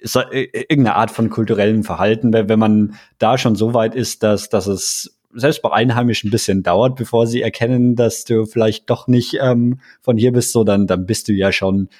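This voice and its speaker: A male podcast host with a somewhat low voice asks long, winding questions. He repeats words and fills gaps with ähm as he gathers his thoughts. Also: fairly even intonation, normal speed, few pauses, a little mellow. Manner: hesitant, repeats words, many fillers. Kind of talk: casual interview chat.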